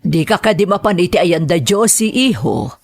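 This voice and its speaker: A woman's voice: on the low side, big pitch swings, normal speed, gravelly, no room sound, exaggerated voice. A woman acting an earnest, persuasive mother of a grown son in an Ilocano radio drama. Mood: concerned, coaxing.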